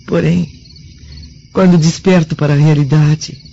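An actress with a Brazilian accent playing a gentle, wistful mother of a bride in an ancient Roman radio drama. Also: sits low, fairly even intonation, unhurried, gravelly. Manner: gentle, sentimental. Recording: muffled radio drama, faint noise.

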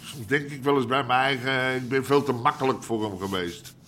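A man acts out a gruff middle-aged Amsterdam underworld boss of the old school in a radio play. He grumbles in blunt street talk at a medium pitch. Noise sits behind the voice. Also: a little fast, fairly bright tone, natural voice. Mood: grumbling, brooding. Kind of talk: naturalistic radio drama acting.

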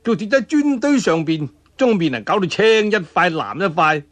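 A high-voiced Cantonese man narrates an action tale as a traditional storyteller. His delivery swings widely in pitch, colloquial and dramatic, built for vivid fight scenes. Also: sing-song, normal speed, fairly bright tone. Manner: dramatic, colloquial, with spoken sound effects and interjections.

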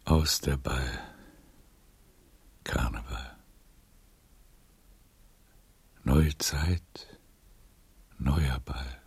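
An actor playing a frail, weary old nobleman in a poetic radio drama, tender and melancholy, with a breathy, gravelly voice and a slow, hushed, solemn delivery. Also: a bass voice, full character voice. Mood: tender, lulling. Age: older.

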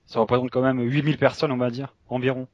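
A man from Strasbourg co-hosting a casual podcast, relaxed and tagging phrases with little fillers. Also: medium pitch, quick pace, dry recording, lo-fi sound. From Strasbourg, northeastern France. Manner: relaxed, tags "hein" and "ouais". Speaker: podcast co-host.

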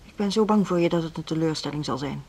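An actress playing an anxious, gentle woman in a radio drama, with a husky voice. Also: deep voice, fast. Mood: anxious, subdued. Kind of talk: Dutch radio drama acting.

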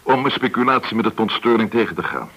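An actor in a radio play as a courteous, probing government agent, explaining things with some hesitation. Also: male voice, lowish voice, very animated, quick pace, husky, lo-fi sound, full character voice. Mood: grave, explaining. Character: polite, probing male government agent recruiting an informant.

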